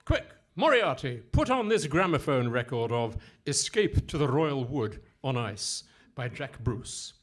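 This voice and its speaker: A man's voice: medium pitch, very animated, a little slow. A man playing a pompous, greedy, scheming villain in a theatrical radio comedy, exclaiming and hurling florid insults. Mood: flustered, commanding. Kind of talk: Goon Show style radio comedy acting. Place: live performance on stage in a hall.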